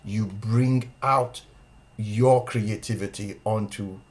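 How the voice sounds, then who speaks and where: A man's voice: fairly low pitch, steady tone, takes it slowly, a little mellow. A man hosting an interview, asking questions and encouraging his guest with short replies. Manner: encouraging, frequent short backchannels. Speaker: interviewer host.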